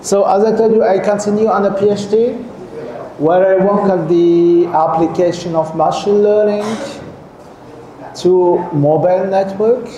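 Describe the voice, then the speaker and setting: A man's voice: high-pitched, warm tone. Middle-aged male lecturer, heard in an echoey lecture hall with a faint hum, addressing students and checking in with them often. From Algeria. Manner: keeps checking in with the audience, repeats and restarts phrases. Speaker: guest professor lecturing students. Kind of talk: seminar lecture.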